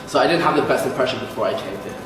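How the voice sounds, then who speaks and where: A young man talks informally and a little haltingly in an interview. The sound is very roomy and distant from the mic, with loud music playing underneath. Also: mid-range voice. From London, England. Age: early 20s. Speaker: exchange student. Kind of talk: casual interview answers. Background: loud background music.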